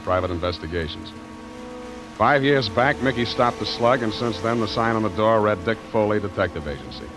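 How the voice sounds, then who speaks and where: An actor playing a weary, hard-boiled American detective in 1940s radio style, terse and wisecracking, on a hissy old recording. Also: male voice, fairly low pitch, close to an everyday voice.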